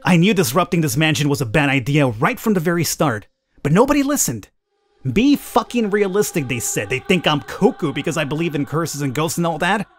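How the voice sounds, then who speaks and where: A man hosting a gaming video, reading a horror visual novel aloud as expressive, dramatic first-person narration. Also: on the high side, fairly quick, soft background music. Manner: expressive, acts out the heroine's thoughts. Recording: clear background noise, game ambience.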